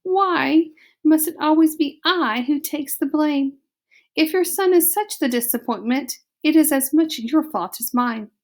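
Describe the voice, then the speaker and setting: A woman's voice: high voice, unhurried, voice pushed up. A woman voicing a vain, dramatic mother mouse with a French accent and broken English. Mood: haughty. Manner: theatrical, haughty, broken English phrasing.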